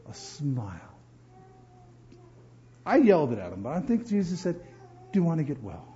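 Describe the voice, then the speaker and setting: Male pastor from New Jersey, preaching, lively swooping delivery, mellow tone, dull lo-fi recording. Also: a little high, moderate pace. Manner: animated, repeats words while building emphasis. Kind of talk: sermon.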